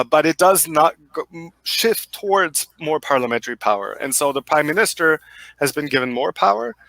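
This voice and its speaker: A German-accented man answers interview questions in a thoughtful, halting way, often pausing on fillers and restarting sentences. His voice sits a bit high and sounds bright and forceful. The sound is dry and close. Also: no echo. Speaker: guest expert.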